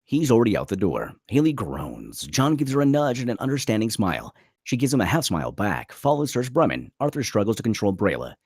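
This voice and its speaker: A man's voice: on the low side, very animated, fairly quick, darkish tone, slightly rough, no echo, studio quality. A man narrating a screenplay dramatically at a table read.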